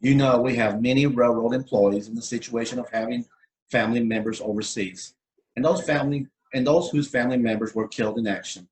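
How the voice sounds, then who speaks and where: A man playing a stern, officious railroad depot boss in a play reading, speaking in a measured, reproving way. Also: medium pitch, natural voice, rough recording. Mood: reproving, defensive. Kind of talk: one-act play table read. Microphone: video call.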